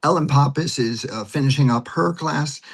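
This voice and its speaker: A man with a husky voice giving conversational interview answers, explaining things step by step. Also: a little high, normal speed. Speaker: guest, theater director and producer.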